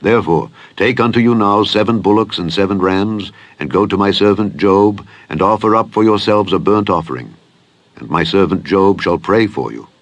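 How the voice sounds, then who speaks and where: Man voicing stern, deep, commanding God. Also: moderate pace, no echo, lo-fi sound. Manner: stern, authoritative. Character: wrathful, commanding male God pronouncing judgement.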